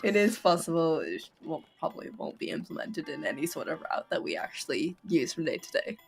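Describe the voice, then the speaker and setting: A hoarse woman who has lost her voice, co-commentating a speedrun with chatty, quick reactions. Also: low voice, sing-song, soft background music. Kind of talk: live game commentary.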